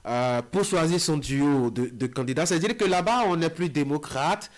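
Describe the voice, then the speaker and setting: Higher-pitched West African male interviewer. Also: moderate pace. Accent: West African French. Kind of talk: radio-style political interview questioning.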